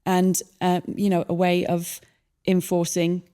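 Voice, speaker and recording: A middle-aged Irish woman speaking as a guest in an interview, in a measured way with frequent pauses and ums. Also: lowish voice, monotone, warm tone, smooth. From Ireland. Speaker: local election candidate, guest. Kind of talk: earnest interview conversation.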